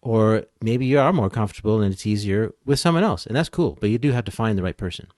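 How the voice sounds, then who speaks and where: A man's voice: lowish voice, lively intonation, quick pace, a little mellow, no echo, studio quality. A man speaking as an expert podcast guest, explaining and advising in a casual, easygoing conversational way.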